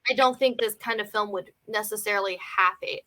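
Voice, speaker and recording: A woman's voice: medium pitch, brisk, sharp tone, a little hiss or hum. A woman in a casual film discussion, talking in a hedging way peppered with "like" and "you know". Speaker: film review panelist.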